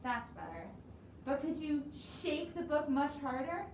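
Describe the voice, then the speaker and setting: A woman reads aloud as a friendly, excitable storybook bunny with a high voice. It chats politely to the reader, heard from a distance in a roomy space. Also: unhurried, heavily acted. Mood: friendly, coaxing. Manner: polite, chatty, addressing the reader directly. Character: friendly, excitable winter rabbit in a picture book. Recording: roomy, distant mic, clear background noise.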